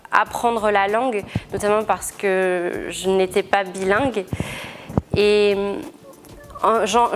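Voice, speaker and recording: Young female student, mid-range voice, earnest formal testimonial delivery. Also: medium pitch. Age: young adult. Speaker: student back from internship abroad. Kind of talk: interview testimonial.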